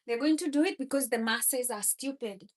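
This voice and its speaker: A woman's voice: high-pitched, normal speed. A young woman from Kenya with a Kenyan accent, hosting a casual political livestream, mocking and laughing as she answers chat. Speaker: livestream host.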